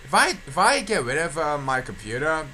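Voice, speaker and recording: Bright-voiced young man, halting and repetitive. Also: fairly high pitch, sing-song. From England. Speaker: video creator giving channel updates. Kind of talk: casual audio log update. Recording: clear background noise.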